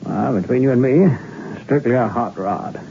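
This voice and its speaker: A man acts a cynical, gossipy crime editor in an old radio drama. He is blunt and slangy, with a very mellow, dark tone. The recording is muffled with faint hiss. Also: mid-range voice, moderate pace, gravelly, close to an everyday voice. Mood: cynical, confiding. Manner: slangy, blunt, world-weary.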